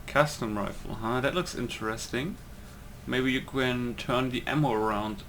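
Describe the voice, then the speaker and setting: A man's voice: mid-range voice, normal speed, fairly bright tone, slight background noise. Casual man muttering through a let's play. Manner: loose, muttering to himself, swearing. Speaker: video game streamer.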